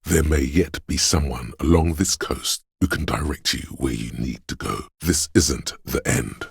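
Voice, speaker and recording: A super low, really raspy inner voice from a video game, gentle n perceptive, hushed solemn delivery, dry close sound. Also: male voice, measured pace, no room sound, heavily acted. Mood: quiet, reassuring. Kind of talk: scripted game narration, intimate inner monologue.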